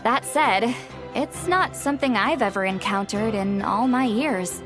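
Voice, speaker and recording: Lively, high-voiced know-it-all scholar. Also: female voice, a little slow. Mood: defensive. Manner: breezy, rambling, pedantic. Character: know-it-all, easily offended, long-lived youthful woman scholar. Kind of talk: video game voice acting. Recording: faint music behind the voice.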